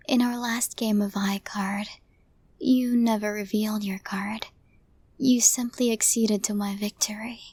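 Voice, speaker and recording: A woman playing a possessive, lovestruck yandere crime boss in an intimate ASMR audio roleplay, speaking in a breathy, deliberate, formal way. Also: fairly high pitch, darkish tone, dry recording. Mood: earnest, subdued. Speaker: voice actor in romantic audio roleplay.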